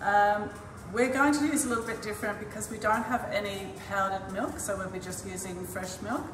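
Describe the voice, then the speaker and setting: A woman's voice: mid-range voice, fairly even intonation, brisk, background noise. An older woman teaching a cooking demonstration in a school kitchen, cheerfully explaining each step. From Australia. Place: large school kitchen, indoors. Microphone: camera at a distance. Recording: echoey kitchen from far off.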